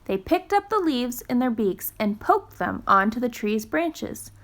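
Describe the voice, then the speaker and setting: A woman reading a children's storybook aloud in a careful, gentle, expressive storytelling style. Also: a little high, a little slow. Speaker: storytime reader.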